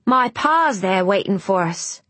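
A woman voicing an excitable working-class boy in an audiobook, blunt and emphatic, with colloquial speech. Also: medium pitch, unhurried, dry recording. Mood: hopeful. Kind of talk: audiobook character dialogue.

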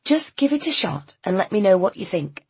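Woman voicing a gentle, upbeat bookseller, slightly husky. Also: medium pitch, big pitch swings, moderate pace, pitched above the performer's own voice, exaggerated voice. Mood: gentle persuasion. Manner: gentle, earnest.

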